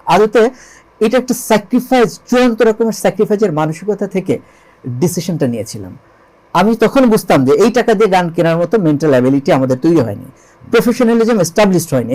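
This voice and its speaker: High-pitched Bangladeshi man, emphatic interview guest. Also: dark tone, low-quality recording. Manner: emphatic, uses rhetorical questions and repetition. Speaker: interview guest, songwriter and composer. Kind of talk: earnest interview storytelling with English loanwords.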